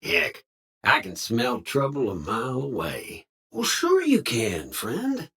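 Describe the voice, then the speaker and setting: Folksy husky cowboy robot. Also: male voice, mid-range voice, huge pitch swings, slow pace, a bit bright, heavily acted. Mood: friendly, evasive. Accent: American Southern cowboy drawl. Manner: folksy slang, theatrical swooping delivery. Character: folksy, jovial cowboy robot drifter, friendly but slyly evasive. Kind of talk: video game character dialogue.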